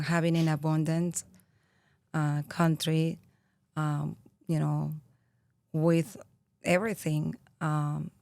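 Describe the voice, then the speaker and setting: A woman in her thirties from Venezuela with a Venezuelan Spanish accent, a podcast guest speaking earnestly and reflectively, pausing often with fillers. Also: low-pitched, darkish tone, clean tone, dry recording, studio quality. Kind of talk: interview conversation.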